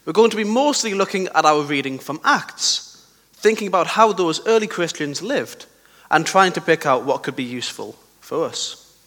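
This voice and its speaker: A young man with a sore throat and a slightly croaky voice, giving a conversational sermon with personal stories and questions to his listeners. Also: on the high side, expressive, moderate pace, fairly bright tone. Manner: conversational, self-deprecating, asks the listeners questions. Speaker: preacher. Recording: long hall reverb.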